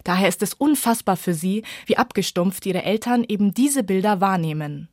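A woman's voice: mid-range voice, expressive, moderate pace, a little mellow, no echo, clean studio sound. A woman reading a scripted radio feature as a reporter and reviewer.

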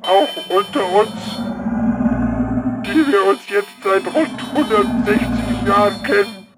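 A man's voice: high voice, slow, over loud music. A man playing a grave, ancient space veteran in a theatrical science fiction radio play. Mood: grave, alarmed.

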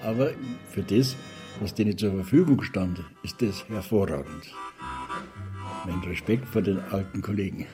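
A man's voice: sits low, moderate pace, mellow, faint music behind. An older man, a retired sound engineer, recalling his work in a plain conversational way. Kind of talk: interview recollection.

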